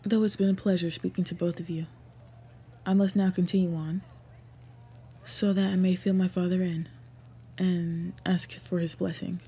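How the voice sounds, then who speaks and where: A woman acts out an earnest half-orc suitor in a medieval fantasy roleplay. She speaks in a very breathy, soft voice with a dark, mellow tone. Her delivery is formal and measured, staying fairly flat in pitch as she pleads with devotion. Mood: tender, protective. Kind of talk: scripted fantasy roleplay audio.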